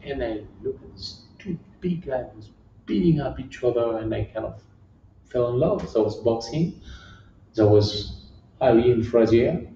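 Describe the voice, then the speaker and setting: A man's voice: medium pitch, lively intonation, creaky, warm tone. A middle-aged man from southern France with a French accent, answering interview questions casually and stopping often to find English words. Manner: halting, searching for English words. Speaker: guest, kickboxing champion. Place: gym. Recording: echoey room, distant muffled mic, faint hiss.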